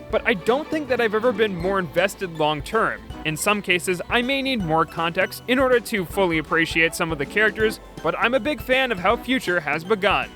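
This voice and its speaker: Bright, high-pitched man narrating a review. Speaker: video host, cartoon reviewer. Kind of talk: scripted video essay narration. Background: background music.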